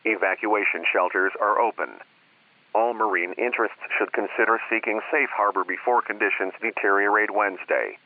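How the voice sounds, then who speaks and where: A man's voice: on the low side, fairly even intonation, normal speed. A man reading a scripted evacuation bulletin as an emergency announcer, in a formal, official manner. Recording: tinny phone-like radio alert.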